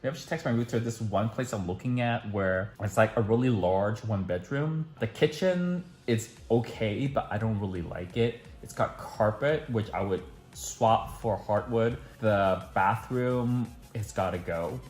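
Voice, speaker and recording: A man's voice: fairly low pitch, a little slow, a little mellow. A young man chatting casually to camera at home in a daily vlog, full of "like" fillers. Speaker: vlogger.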